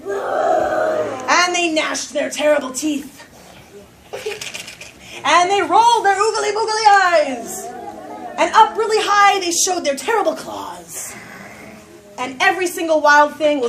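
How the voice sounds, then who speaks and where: A woman's voice: sits high, lively intonation, a little slow, pauses often, fairly bright tone, a faint crowd, slight background noise. A woman telling an interactive story to young children in a theatrical manner, prompting them to act along. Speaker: storyteller leading a children's puppet show.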